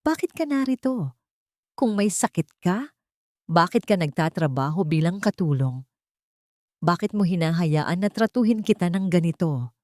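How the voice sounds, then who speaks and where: A woman's voice: on the low side, expressive, moderate pace, long pauses, a little mellow, no room sound, clean studio sound, natural voice. A woman voicing a bitter, shaken grown son in a dramatic storybook, questioning in a demanding, pleading way.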